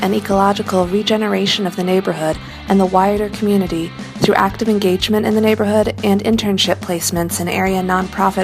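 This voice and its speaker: An American woman delivers scripted promotional narration in a mid-pitched voice. Music is clearly heard behind her.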